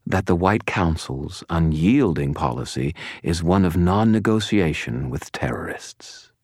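A man's voice: low voice, lively intonation, unhurried, darkish tone, no room sound, clean studio sound, heavily acted. A man voicing a smug, gloating villain in an audiobook, taunting and self-satisfied. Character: smug, gloating male villain holding captives. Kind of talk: audiobook character voice.

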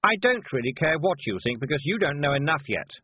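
Man voicing a haughty, high-pitched bossy teenage witch. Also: sing-song, normal speed, husky, no echo, exaggerated voice. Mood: haughty, superior. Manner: scolding, condescending, emphatic. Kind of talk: audiobook character voice. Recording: muffled narrow-band phone-like sound.

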